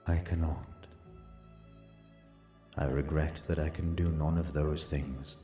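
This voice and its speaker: Man as deep, sorrowful angelic demigod father. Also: steady tone, dark tone, echoey room, long reverb tail, soft background music. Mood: grave regret. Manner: grave, slow, regretful.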